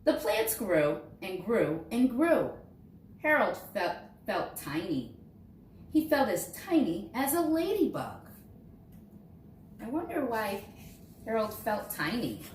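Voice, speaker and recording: A woman reads a picture book aloud to young children in an expressive way, dramatizing key words. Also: medium pitch, takes it slowly, pauses often. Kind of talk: storybook read-aloud. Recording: roomy echo, distant mic, faint noise.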